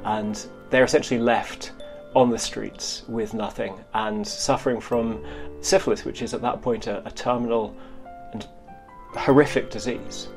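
A man speaks as a historian in an interview, with a gravelly creak in a medium-pitched voice. He explains his points in a halting way, circling back to rebuild phrases. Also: fairly even intonation, darkish tone, soft background music.

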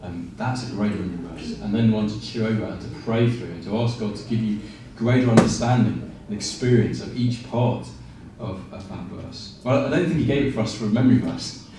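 A man in his forties preaching a sermon with animated gestures and frequent fillers and restarts. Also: lowish voice, a little fast, hardly any pauses, background noise. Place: small room with blinds, indoors. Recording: very echoey room, from a distance.

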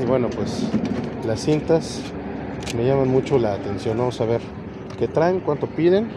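A man's voice: medium pitch, noisy background. A man in his thirties hosting a casual walk-and-talk vlog at an outdoor swap meet, chatting and rambling as he lists the items he sees, recorded on an action camera. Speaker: vlogger. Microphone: action camera on body or handheld.

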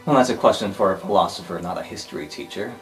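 A man voicing an arrogant, domineering history teacher supervillain in a superhero roleplay, speaking in a haughty, measured, menacing way. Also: low voice, expressive, soft background music. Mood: cold, domineering. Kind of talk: improvised superhero roleplay podcast.

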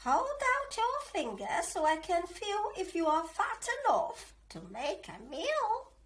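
A woman acts out a wicked old witch from a fairy tale. The voice is very high and loud, swooping and drawn out. She is menacing, with a false sweetness. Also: huge pitch swings, slow, heavily acted. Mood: greedy, impatient. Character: wicked old witch who eats little boys.

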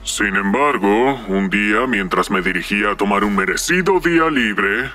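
Man playing a low, forceful jaded soldier. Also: expressive, normal speed, soft background music. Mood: jaded, businesslike. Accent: Latin American Spanish. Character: weary, secretive male soldier client in gas mask. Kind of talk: animated fan dub acting.

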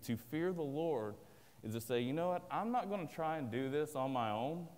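A man's voice: mid-range voice, a little mellow. A man from the American South with a Southern accent, preaching an earnest, plain-spoken sermon from a pulpit. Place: large church sanctuary indoors. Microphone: at a pulpit, filmed by a far camera. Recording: long church-hall reverb.

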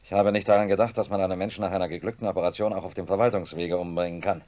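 A man's voice: low-pitched, fairly even intonation, rapid-fire, dark tone, lightly acted. A man playing a troubled surgeon and professor in radio drama dialogue. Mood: dismayed. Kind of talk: German radio drama acting.

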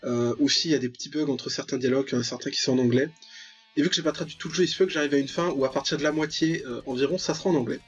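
A man's voice: mid-range voice, racing. A man giving casual, joking gameplay commentary as the host of a gaming video. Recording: dull, lo-fi mic, music behind. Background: game music.

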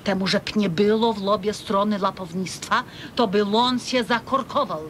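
An actress playing an opinionated, talkative older housewife in a radio drama, lecturing folksily with an eastern Polish Podlasie accent. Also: female voice, fairly even intonation, moderate pace, a bit bright, lightly acted. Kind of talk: radio drama acting in rural dialect. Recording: rumbly, noisy radio recording.

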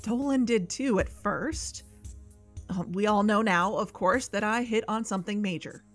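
An actress as a woman hosting an investigative podcast drama. She is headstrong and insistent, with a medium-pitched conversational voice over music. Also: normal speed, natural voice. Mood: defensive, insistent. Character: headstrong, defensive female amateur investigator podcaster. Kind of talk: fictional podcast narration and dialogue.

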